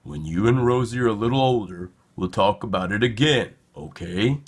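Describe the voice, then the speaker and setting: A man voicing a calm, gentle father reasoning with his young son in a storybook. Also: lowish voice, moderate pace, husky. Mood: gentle, reassuring.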